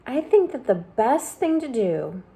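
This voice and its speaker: A thoughtful young storybook boy who speaks slowly and keeps his voice low and hushed, as a woman reads him. His tone is mellow and soft. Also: very animated, creaky, full character voice. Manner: slow, hushed, careful.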